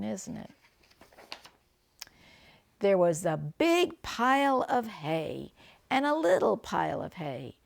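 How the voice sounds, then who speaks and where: An older woman reading a picture book aloud to children like a grandmother, phrasing things deliberately and chatting about the pictures. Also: on the low side, vocal fry. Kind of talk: read-aloud storytelling with chatty asides and animal sounds.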